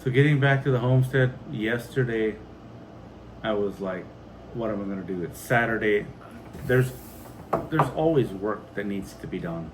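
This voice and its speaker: A man's voice: on the low side, normal speed, pauses often. A middle-aged man chatting casually as a homestead vlog co-host, recounting things plainly in short phrases. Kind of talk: casual chat. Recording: clear steady background noise, like ventilation.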